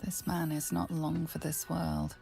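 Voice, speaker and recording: Ominous female game narrator, heavily gravelly and mellow, hushed storytelling, faint music beneath. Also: low voice, little pitch movement, a little slow, full character voice.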